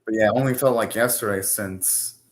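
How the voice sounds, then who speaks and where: A man's voice: lowish voice, fairly quick. A young man hosting a live anime reaction, talking casually, ranting and swearing now and then.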